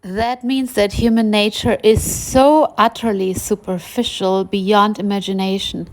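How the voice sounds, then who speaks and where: A woman, a German speaker, talking off the cuff in an emphatic, halting, rambling personal monologue. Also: medium pitch, no echo, rough recording. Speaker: YouTube vlogger.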